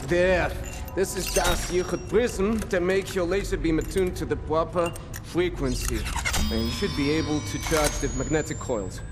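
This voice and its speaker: An actor playing an eccentric old inventor with a foreign accent in a video game, halting and fussy as he explains. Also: fairly high pitch, over music, close to an everyday voice. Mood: fussy, eager. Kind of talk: video game cutscene acting.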